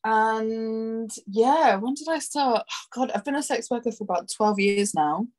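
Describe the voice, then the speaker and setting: A woman's voice: on the high side, a little slow, a little mellow. A woman in her thirties from Brazil with a London accent, chatting casually as a podcast guest, laughing often and talking in a rambling, candid way. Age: 30s. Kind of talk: casual chat.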